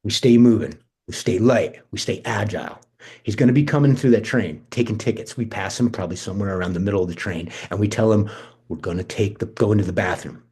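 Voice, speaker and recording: A man's voice: fairly low pitch, fast, darkish tone, husky. A man acting a brash, restless, irreverent young slacker in a casual, naturalistic table read. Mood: conspiratorial. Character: brash, restless, fast-talking slacker cousin, scheming and irreverent.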